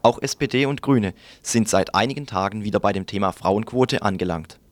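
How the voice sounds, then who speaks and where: A man's voice: on the low side, a little fast, dry recording. A man working as a radio presenter, reading a scripted introduction.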